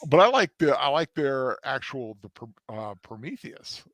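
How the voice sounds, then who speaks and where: A man's voice: mid-range voice, sing-song, normal speed, a bit bright. A man co-hosting a casual podcast, talking hesitantly and searching for words. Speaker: podcast co-host. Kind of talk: casual discussion.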